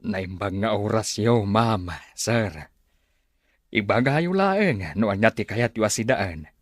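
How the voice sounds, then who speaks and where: A man's voice: fairly low pitch, expressive, takes it slowly, dry recording, studio quality. Man playing humble country father, courteous and hospitable. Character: humble, hospitable rural father, middle-aged or older.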